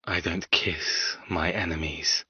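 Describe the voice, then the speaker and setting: A man playing a cold, arrogant, seductive captor in an audiobook, speaking in a deliberate, taunting manner. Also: fairly even intonation, sharp tone, husky, no room sound, muffled recording, full character voice. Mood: menacing, teasing. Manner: low, deliberate, controlled, taunting. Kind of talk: audiobook character dialogue.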